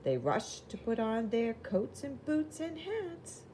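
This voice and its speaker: A woman voicing a proud, eager little red fire engine in a children's storybook, with simple, expressive delivery. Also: a little high, slow pace, background noise, voice pushed up. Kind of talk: children's storybook character narration.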